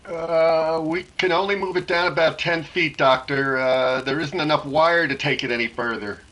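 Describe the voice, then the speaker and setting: A man's voice: fairly high pitch, moderate pace, pushed and bright, rough recording. A man playing a matter-of-fact technician, plain and unruffled, in a 1930s-style radio drama.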